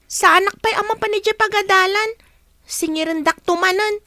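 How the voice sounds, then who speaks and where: A woman's voice: very high voice, moderate pace, sharp tone, no echo, studio quality. A woman playing a whining, demanding schoolgirl daughter in a radio drama. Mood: demanding, upset.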